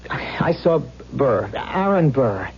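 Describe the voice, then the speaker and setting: An actor playing an anxious, haunted policeman in a 1970s radio drama, halting and stammering with intensity. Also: medium pitch, sing-song, breathy edge, full character voice. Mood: haunted, intense. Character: anxious, haunted male policeman confiding in wife. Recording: dull vintage radio recording.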